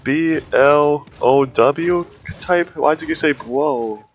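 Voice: mid-range voice, slow pace, a little hiss or hum. A gamer chatting casually during play, teasing and joking with a friend. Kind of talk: casual chat while gaming.

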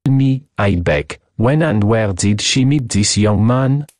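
A man voicing a theatrical, excitable French detective with a French accent, gallant manners and broken English in a story reading. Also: fairly low pitch, a little slow, no room sound, clean studio sound. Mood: eager, polite.

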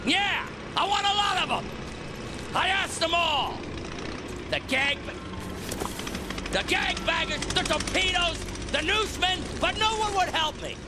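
An actor playing a flamboyant, manic race boss in a video game, theatrical and wheedling, swinging from sly muttering to shrieks. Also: male voice, very high voice, lively intonation, unhurried, long pauses, noisy background. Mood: ranting. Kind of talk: video game character acting. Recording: music playing behind the voice.

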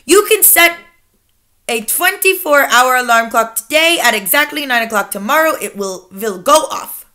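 The Grand High Witch, as a woman puts on a commanding bark. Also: expressive, normal speed, pushed and bright. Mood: imperious. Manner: commanding, scornful, declamatory. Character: domineering female witch leader, imperious.

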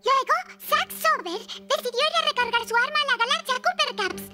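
An actor voicing an eager, bold little woodpecker child in a Spanish cartoon dub, earnest and excitable. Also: extremely high pitch, a little fast. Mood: dramatic, earnest. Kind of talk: Spanish-dubbed cartoon acting. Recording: loud children's cartoon music behind.

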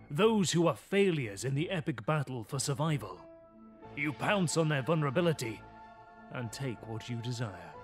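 An actor playing a cold, menacing, aristocratic male vampire in a horror audio drama, with formal, deliberate, theatrical delivery. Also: mid-range voice, lightly acted. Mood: sinister, condescending. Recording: music behind the voice. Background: music.

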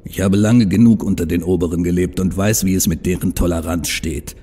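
A man's voice: low voice, fairly even intonation, brisk, mellow, slightly rough. A man playing a proud, embittered and stubborn Egyptian troll in a fan audio drama, speaking in earnest, emphatic speeches. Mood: bitter.

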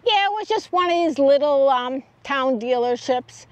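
A woman's voice: sits high, expressive, moderate pace, no room sound. An older American woman casually recounting a trip as a travel vlog host, speaking outdoors in the open air.

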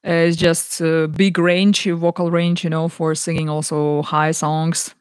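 A young woman with a naturally low voice, chatting casually with viewers between songs in a small home studio, speaking softly and haltingly into a close boom mic. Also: little pitch movement, clean tone, dry recording. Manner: soft, halting, many fillers. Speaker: singer hosting a live music stream. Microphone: large broadcast mic on a boom arm, close.

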